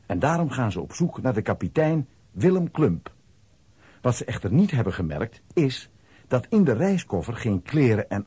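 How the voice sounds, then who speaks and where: Guy narrating kids audio story, really raspy n mellow, expressive, dry sound. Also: medium pitch, dry recording. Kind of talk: scripted storytelling narration for children's audio play.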